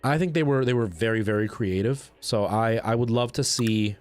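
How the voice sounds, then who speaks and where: A man's voice: mid-range voice, normal speed, darkish tone, faint music behind. A man chatting casually and enthusiastically with viewers as he hosts a video game livestream. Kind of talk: casual livestream chat while playing a game.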